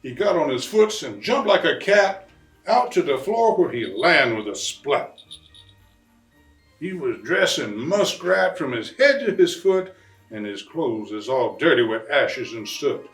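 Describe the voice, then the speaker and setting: A man voicing an excitable bayou papa who tells a rhyming Christmas storybook tale in a folksy, animated way with drawn-out emphasis. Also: fairly high pitch. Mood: excited. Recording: roomy, distant mic, faint music.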